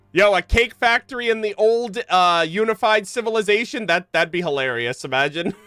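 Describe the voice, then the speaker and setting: Higher-voiced man streaming a game. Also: normal speed, clean tone, no room sound. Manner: slangy, speculating out loud. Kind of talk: casual playthrough commentary, reading game text aloud. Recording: game music behind voice. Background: game music.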